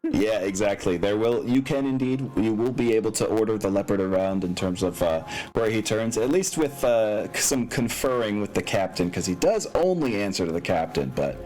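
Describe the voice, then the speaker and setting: A man's voice: fairly low pitch, lively intonation, moderate pace, few pauses, darkish tone. A man speaking casually as game master of a tabletop game, drawing out phrases for emphasis. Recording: music behind the voice. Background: background music.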